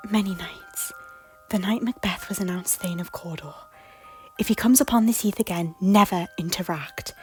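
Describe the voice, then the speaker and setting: A woman voicing a guilt-ridden former queen who pleads in breathy, often whispered lines. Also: on the low side, moderate pace, soft background music, lightly acted. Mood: pleading. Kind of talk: fan audio drama acting.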